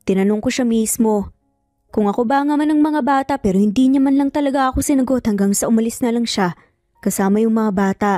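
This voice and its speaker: A woman's voice: on the high side, fast, dark tone, dry recording, clean studio sound, natural voice. A woman voicing a frustrated, insistent man, a lawyer in a romance story, earnest, with music behind. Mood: dejected. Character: frustrated, insistent male lawyer demanding answers. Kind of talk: Tagalog romance drama storytelling. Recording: music bed under the voice.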